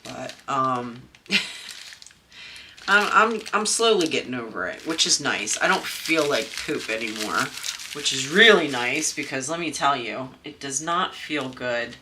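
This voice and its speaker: A woman's voice: extremely low pitch, animated, a little slow, noisy background. A woman from Maryland with a stuffy, congested nose, chatting casually at home while she crafts, rambling with lots of ums and restarts. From Maryland, USA. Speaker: crafting channel host.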